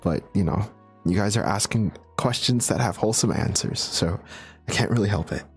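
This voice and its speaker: A young man chatting casually on a livestream, answering viewer questions in a relaxed, chatty way with frequent laughs and fillers. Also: lowish voice, normal speed, gravelly, husky, faint music behind. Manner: laid-back, chatty, laughs often, many fillers. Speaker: streamer.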